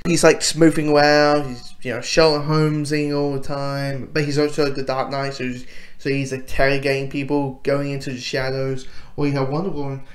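A man reviewing cartoons in a casual, enthusiastic, rambling way, often interrupting himself. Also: mid-range voice.

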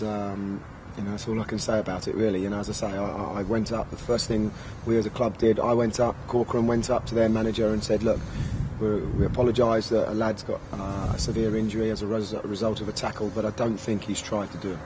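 A man in his thirties, a football manager giving measured post-match answers with many fillers, into handheld recorders outdoors. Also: on the low side, little pitch movement, background noise. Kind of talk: post-match press interview. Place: outdoor press area by a sponsor backdrop. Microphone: handheld recorders held close.

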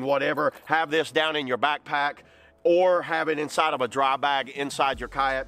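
Casual higher-voiced man reviewing gear. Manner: relaxed, rambling, frequent fillers like "you know" and "kinda".

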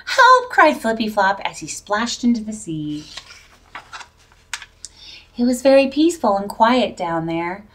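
A middle-aged woman reading a children's picture book aloud in an expressive, dramatic, drawn-out way. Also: medium pitch, slight background noise. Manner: animated, drawn-out, dramatic delivery for young children. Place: plain-walled indoor room. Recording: voice picked up from a distance.

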